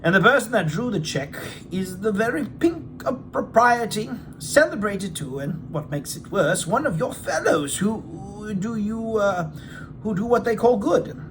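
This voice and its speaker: A talkative storybook gentleman, acted out by a man, speaks high for a male voice and swings widely in pitch. He is candid and emphatic, exclaiming as he recounts his tale. There is steady background noise. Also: unhurried. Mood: animated, opinionated. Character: Mr Enfield, talkative, candid English gentleman telling an odd tale.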